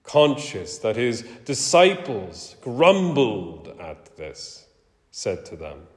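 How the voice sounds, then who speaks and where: A man preaching and reading scripture in a solemn, emphatic, theatrical way, with deliberate pauses. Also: lowish voice, big pitch swings. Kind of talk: dramatic scripture reading and sermon. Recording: echoey hall with long reverb.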